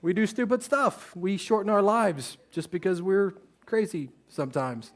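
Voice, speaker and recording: A man, preaching a sermon on a church stage in a relaxed, joking, conversational way. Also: high-pitched, moderate pace. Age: 30s. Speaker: pastor.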